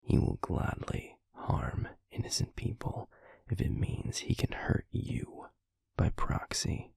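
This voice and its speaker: A man playing a grave, protective werewolf pack alpha in an audio drama, with a husky, gravelly voice and measured, formal speech. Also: dry recording, in a whisper, natural voice. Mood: grim, formal. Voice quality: gravelly, husky, breathy low voice. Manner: measured, deliberate, formal, hushed. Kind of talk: audio roleplay drama acting.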